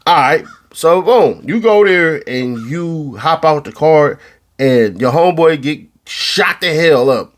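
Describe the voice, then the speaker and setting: A man from California talking in a fairly high voice, loose and slangy as he commentates on a court case. He swears casually and keeps his delivery animated and conversational. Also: slow. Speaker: online commentator.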